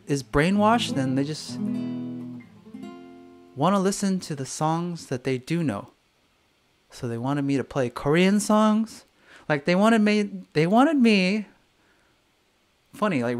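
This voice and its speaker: A singer-songwriter talking candidly on a live stream, swearing freely and acting out the voices of other people, with faint guitar music behind. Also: male voice, on the high side. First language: English.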